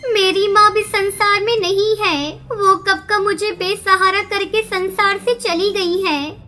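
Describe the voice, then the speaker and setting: A woman's voice: extremely high pitch, steady tone, slow pace, pushed and bright, full character voice. A woman voicing a young orphaned girl bird in a cartoon, with a childlike, earnest manner. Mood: sad. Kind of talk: Hindi cartoon dialogue.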